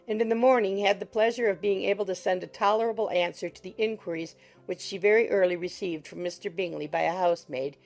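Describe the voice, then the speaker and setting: A woman's voice: medium pitch, creaky. A woman narrating a classic novel as an audiobook in a scripted literary reading style. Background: soft music.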